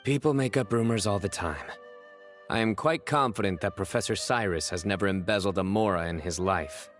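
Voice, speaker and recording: An actor playing a stern, perceptive law enforcer in a video game, speaking in a deliberate, coolly intimidating way. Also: male voice, lowish voice, faint music behind, natural voice. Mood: matter-of-fact.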